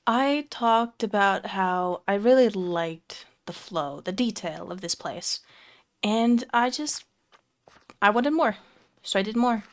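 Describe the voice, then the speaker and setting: A young woman from Finland, chatting casually with fillers and soft laughs while touring her game build. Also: medium pitch, animated, slow pace. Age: young adult. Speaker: Minecraft let's play creator. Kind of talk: casual gameplay commentary.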